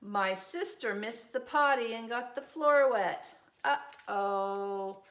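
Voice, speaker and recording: A middle-aged woman reading a children's storybook aloud, adding gentle, reassuring asides in simple phrases for young children. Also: a little high, slow pace. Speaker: preschool teacher reading a picture book aloud. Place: small indoor room with desk and shelves.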